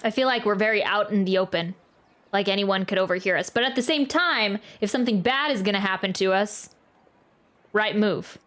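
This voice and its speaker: A woman's voice: medium pitch, fairly quick, fairly bright tone. A woman streamer giving casual live game commentary, chatty and musing aloud, close to the mic. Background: game music.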